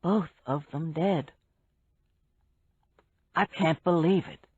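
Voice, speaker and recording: A woman's voice: low-pitched, slow pace, darkish tone, husky, no echo, muffled recording, full character voice. A woman voicing a saddened, weary but courteous man in an audiobook story, subdued and polite. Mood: sad, subdued.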